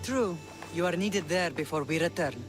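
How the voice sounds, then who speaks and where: A woman's voice: on the low side, moderate pace, music in the background, close to an everyday voice. Actress as stern mature Greek woman. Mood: composed. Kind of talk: video game dramatic dialogue.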